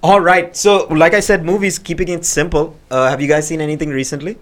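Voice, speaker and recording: A man's voice: on the high side, fairly even intonation, a little fast, a bit bright. Laid-back teasing male host. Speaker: host, comedian. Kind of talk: casual chat.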